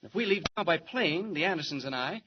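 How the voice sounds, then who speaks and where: A man's voice: fairly high pitch, lively intonation, a little fast, close to an everyday voice. A man playing a mild, earnest young newspaper reporter in a 1940s radio drama, on a muffled, noisy recording.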